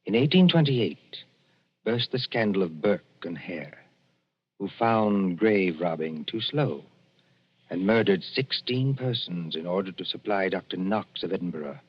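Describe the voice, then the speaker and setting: Low-voiced man narrating vintage radio suspense. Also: lively intonation, slightly rough. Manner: ominous, deliberate storytelling. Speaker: radio show narrator and announcer. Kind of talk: 1940s suspense radio narration, dramatic and scripted. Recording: muffled vintage radio recording with music.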